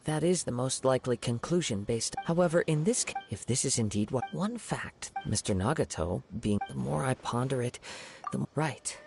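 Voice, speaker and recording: A man voicing a calm, analytical young male investigator in a video game, speaking in a measured, formal, deductive manner. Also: medium pitch, vocal fry. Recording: game voice with music behind. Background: game background music.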